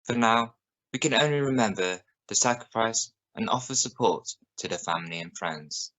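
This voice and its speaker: Measured, serious radio news anchor, played by a man. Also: fairly low pitch, expressive, a bit bright, poor audio. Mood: sombre, respectful. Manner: formal, measured, probing. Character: serious, probing male evening news reporter of the future. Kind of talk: scripted radio news broadcast in a drama.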